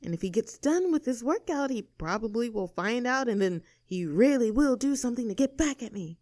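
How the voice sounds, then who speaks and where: A woman's voice: fairly high pitch, expressive, a little fast, a little mellow, no room sound, close to an everyday voice. A woman playing a cocky, rebellious young mean girl in an audio roleplay, snarky, bossy and self-assured as she talks to the listener. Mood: snarky, scheming.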